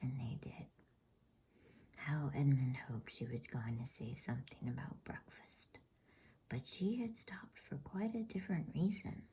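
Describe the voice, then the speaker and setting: A woman reading a bedtime storybook aloud in a soothing, unhurried ASMR whisper. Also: extremely low pitch, warm tone.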